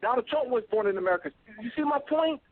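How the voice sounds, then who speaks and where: A man giving an insistent talk, stopping often to fill pauses with ums and uhs, heard over a phone line. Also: very high voice, lively intonation, no room sound. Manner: insistent, repetitive, many filler ums and uhs. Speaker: guest speaker. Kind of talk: call-in lecture, talking to a host. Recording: tinny phone line, dry.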